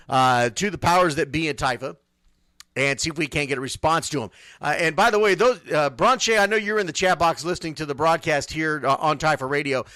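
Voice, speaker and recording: A man's voice: fairly high pitch, bright, forceful tone, dry recording. Casual male radio host, close dry sound. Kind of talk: casual sports radio talk.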